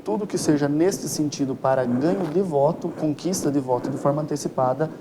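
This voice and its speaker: A man's voice: fairly high pitch, a little fast. A Brazilian man giving formal, explanatory answers as an expert interview guest, with precise, legalistic wording. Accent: Brazilian Portuguese. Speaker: interview guest, electoral lawyer. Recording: clear ambient background noise.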